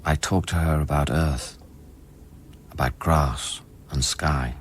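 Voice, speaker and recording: A man acting a haunted scientist in a radio drama, recounting life on an alien world in measured, introspective, literary phrases. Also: a bass voice, fairly even intonation, noisy background. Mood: brooding, awed. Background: music.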